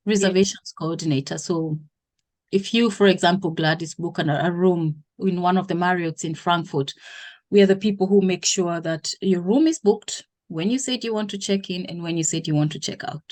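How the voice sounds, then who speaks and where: A woman from Kenya in her thirties, a guest in an interview, who explains things at length with pauses and self-corrections. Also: lowish voice, a little mellow. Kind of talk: interview conversation.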